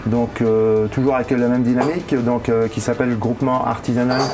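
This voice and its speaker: A man's voice: mid-range voice, little pitch movement, fairly quick. A man in his fifties explaining things casually in an interview as a business owner, often hesitating and searching for words. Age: 50s. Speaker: hotel and bar owner. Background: music.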